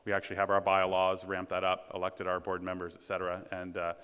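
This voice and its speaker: A man with a booming voice talks through a conference presentation, pausing often with fillers and restarts. His voice sounds rather low and clear, with a bright edge. The sound carries the long reverb of a big lecture hall. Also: monotone. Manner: halting, many ums and restarts. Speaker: conference speaker, task force president.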